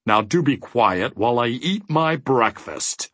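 A man's voice: medium pitch, animated, a little slow, a bit bright, no echo. A man voicing a huge gelatinous giant in a storybook, ferocious and peevish but secretly timid and indecisive. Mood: anxious, confiding. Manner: bellowing, hedging, indecisive.

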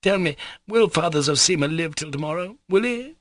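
A melodramatic, fussy mother from a classic novel, put on by a man reading aloud. The voice is raised high, plaintive and fluttery, full of exclamation. The sound is dry and close. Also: lively intonation, brisk, a bit bright, no echo. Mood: overwrought. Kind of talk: dramatised audiobook reading.

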